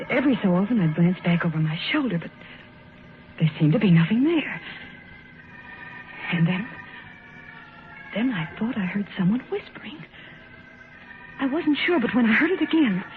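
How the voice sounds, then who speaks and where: An actress playing Elaine, a frightened young woman in a 1950s radio drama, telling her story in an anxious, halting way. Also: mid-range voice, lightly acted. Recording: tinny old radio broadcast, faint hiss.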